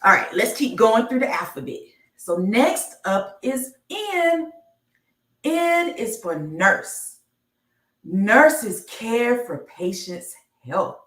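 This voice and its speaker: A woman reading a children's picture book aloud on a live stream, enunciating deliberately like a teacher. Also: on the high side, sing-song, fairly bright tone. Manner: slow, deliberate enunciation with teacherly announcements of each letter. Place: indoor room, live stream. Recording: roomy, echoey, distant microphone.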